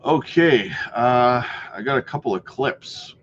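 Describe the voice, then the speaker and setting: A man's voice: medium pitch, expressive, unhurried, muffled recording, a little hiss or hum. A man hosting a casual crypto livestream, rambling through viewer comments with many fillers while losing his voice.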